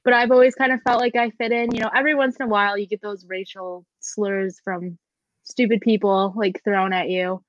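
A woman's voice: mid-range voice, steady tone, a little fast, dry recording. A young woman chatting casually, with many "like" fillers. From United States. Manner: easygoing, frequent "like" fillers. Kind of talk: casual chat. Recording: slightly dull, dry sound.

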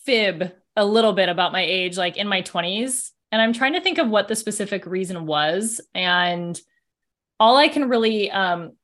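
A woman's voice: mid-range voice, lively intonation, normal speed, a bit bright. A woman joining a casual chat over a video call, telling an upbeat story. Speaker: guest.

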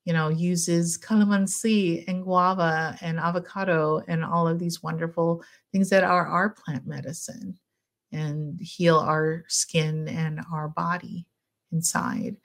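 Gentle, chatty woman psychologist. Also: fairly low pitch, level tone, slow. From United States. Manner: gentle, chatty, many fillers, laughs often. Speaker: psychologist guest. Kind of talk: casual podcast interview.

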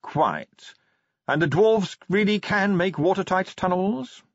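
A man voicing a cold, dry-witted male ruler of a city who speaks slowly and flatly. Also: high-pitched, no room sound, pitched above the performer's own voice, exaggerated voice. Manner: slow, flat, ironic. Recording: slightly dull, dry studio read.

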